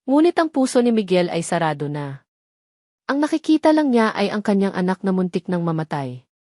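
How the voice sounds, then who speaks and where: A woman's voice: medium pitch, sing-song, brisk, darkish tone, no echo, studio quality. A woman narrating a scripted romantic drama as a storyteller, in a dramatic reading style.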